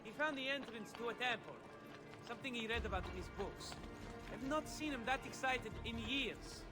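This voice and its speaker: A man's voice: very high voice, normal speed. A man voicing a cheeky young Italian apprentice with an Italian accent in a video game, glib and irreverent. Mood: cheeky, breezy. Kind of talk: video game cutscene acting. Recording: music behind the voice.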